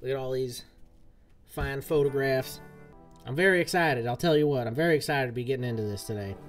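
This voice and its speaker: A man's voice: medium pitch, brisk, soft background music. A man, chatting casually and folksily with his chat while streaming a game. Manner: folksy cowboy-style talk. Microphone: desk mic, game audio from a speaker.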